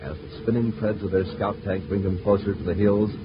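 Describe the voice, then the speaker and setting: An American man performing as a dramatic announcer, narrating a vintage radio space adventure serial with deliberate delivery. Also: low-pitched, close to an everyday voice. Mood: dramatic, scene-setting. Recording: muffled, hissy vintage radio recording.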